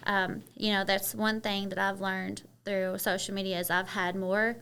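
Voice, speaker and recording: A woman's voice: mid-range voice, little pitch movement, moderate pace, a bit bright, clean tone. A woman from Kentucky with a Southern twang, chatting openly as a podcast guest and using many "you know" fillers. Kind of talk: casual interview chat.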